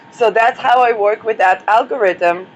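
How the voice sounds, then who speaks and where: A woman's voice: fairly high pitch, rough recording. A woman hosting an astrology podcast, losing her voice, talking in a rambling solo monologue full of hesitations and restarts. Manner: hesitant, many fillers and restarts.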